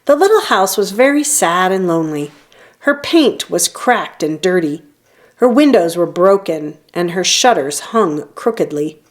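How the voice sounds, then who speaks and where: A woman reading a children's story aloud in an expressive storytelling manner, leaning on key phrases. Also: fairly low pitch, a little slow. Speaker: storybook reader. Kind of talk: children's read-aloud narration.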